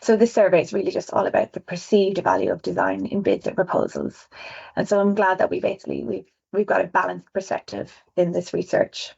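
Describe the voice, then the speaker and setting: A woman with an Irish accent, a visual designer presenting survey results on a webinar in a quick, chatty way. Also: fairly low pitch, lively intonation, creaky, darkish tone, husky. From Ireland. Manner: fast-talking, chatty, self-aware. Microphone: video call. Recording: dull lo-fi webinar audio.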